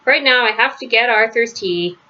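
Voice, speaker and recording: A fussing, kindly grandmother from a children's storybook, read by a woman. She is soothing but gently firm, in a high, bright voice on slightly dull audio. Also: moderate pace.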